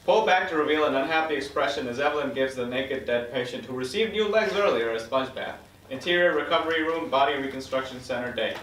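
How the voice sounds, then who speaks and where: A man's voice: mid-range voice, fast, fairly bright tone. A man reading stage directions as scripted narration at a table read of a screenplay. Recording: echoey room, distant mic, faint noise.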